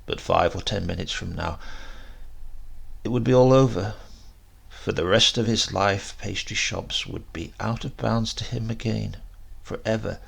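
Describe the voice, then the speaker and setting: A man narrating a short story as a dramatic audiobook reading, with deliberate phrasing and emphasis. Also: lowish voice, gravelly, slightly rough.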